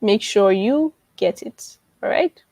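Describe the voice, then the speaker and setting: Woman, average pitch, informal webinar coach, repetitive phrasing, frequent check-ins, dry close recording. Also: animated, takes it slowly, vocal fry, warm tone, no room sound. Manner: repeats phrases and checks in often. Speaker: online publishing coach hosting a live webinar. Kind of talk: informal live teaching and promotion, answering viewer questions.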